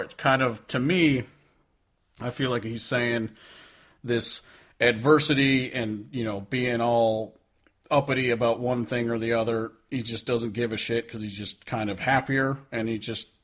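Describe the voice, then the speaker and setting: A man with an average-pitched voice talks casually as a podcast co-host. He is frank and swears freely, rambling his way through his points about music.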